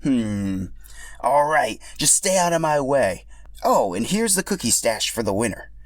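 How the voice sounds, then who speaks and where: A scornful, cocky male dinosaur racer from a storybook tale, as a man narrator puts him on. He sounds smug and dismissive, with a lively, swooping delivery recorded very dry. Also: mid-range voice, a little slow, no echo, pitched above the performer's own voice. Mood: grudging.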